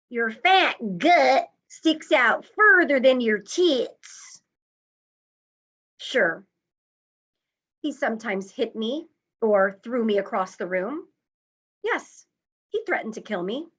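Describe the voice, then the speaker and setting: A woman playing a wounded, reflective survivor of an abusive marriage, recounting deliberately and emphatically in a dramatic monologue. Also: on the high side. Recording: slightly dull, muffled sound.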